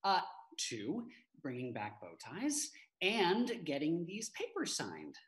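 An actor playing a droll, wary young office assistant in a comedy table read, speaking dryly. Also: male voice, a little high, big pitch swings, takes it slowly, a bit bright, heavily acted. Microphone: video call.

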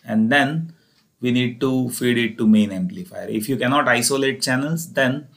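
A man's voice: medium pitch, normal speed, gravelly, fairly bright tone. Indian-accented man giving a technical lecture. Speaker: engineering lecturer. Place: voice-over recorded over slides.

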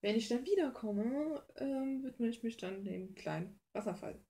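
A woman's voice: fairly high pitch, animated, a little slow, dark tone. A young woman rambles casually through her art process, informal and self-deprecating with some mild crudeness. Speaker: hobby artist and video host. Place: small cluttered room at home, indoors.

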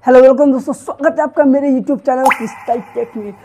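A man's voice: very high voice, quick pace, noisy background. A young man hosting an app tutorial, explaining steps earnestly while gesturing with his hands, recorded on a lapel mic in a small home. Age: young adult. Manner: earnest, talks with animated hand gestures. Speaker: tech tutorial host.

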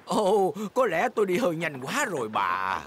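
A man's voice: high-pitched, wildly swooping pitch, moderate pace, fairly bright tone, slight background noise, full character voice. A man voicing a stubborn, boastful grandfather pig captain in a children's cartoon dub. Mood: grudging. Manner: competitive, stubborn. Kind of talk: dubbed children's cartoon dialogue.

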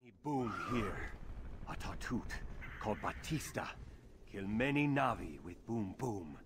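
Fierce, animated alien warrior. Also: male voice, fairly low pitch, background noise. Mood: grim. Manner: halting, broken English. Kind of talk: video game dialogue acting.

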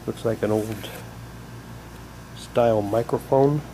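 A man giving a halting, unhurried, casual commentary as he shows and reads out items for an unboxing video. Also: fairly low pitch. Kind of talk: casual unboxing commentary, reading packaging aloud. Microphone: handheld camera's built-in mic. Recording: noisy home camcorder, steady hum.

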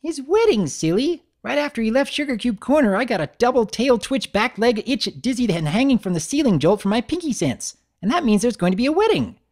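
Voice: mid-range voice, big pitch swings, brisk, few pauses, a little mellow, voice pushed up. A narrator voicing a bubbly, hyperactive young female cartoon pony, gushing and sing-song. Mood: excited.

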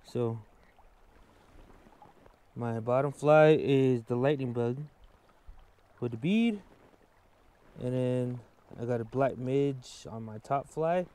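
A man's voice: medium pitch, takes it slowly, long pauses, dark tone, smooth. A man vlogging while fishing in a river, casually explaining his setup step by step with plenty of fillers. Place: outdoors standing in a river.

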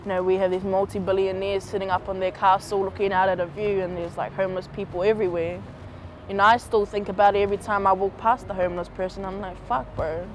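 A young man, talking casually and softly in an outdoor street interview with relaxed slang. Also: sits high, quick pace, slight background noise, low-quality recording. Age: young adult. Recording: music bed underneath.

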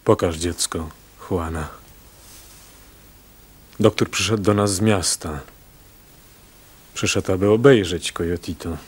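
An actor playing a proud, stubborn pearl diver and stern husband in a radio play, terse and grave. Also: male voice, deep voice, takes it slowly, long pauses, breathy edge, full character voice.

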